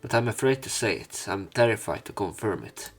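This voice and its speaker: A man reading a visual novel aloud with commentary, in a theatrical, expressive way. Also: lowish voice, fairly quick, slightly rough, soft background music. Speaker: let's player narrating and commenting on a visual novel. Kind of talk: dramatic read-aloud with commentary.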